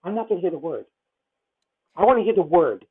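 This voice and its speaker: A woman in her fifties leading a voice masterclass, talking animatedly with halting restarts and breaking into sung demonstration phrases. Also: lowish voice, sing-song, slightly rough. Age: 50s. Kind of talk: coaching a singer in a masterclass. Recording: tinny phone-like video call audio.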